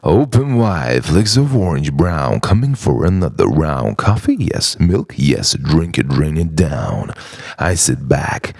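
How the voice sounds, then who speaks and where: Very deep, creaky-voiced man musing poetically. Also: big pitch swings, a little mellow, dry recording, clean studio sound. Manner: deliberate, halting phrases with dramatic pauses, dropping at times toward a whisper. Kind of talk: introspective spoken-word monologue, poetic letter to himself.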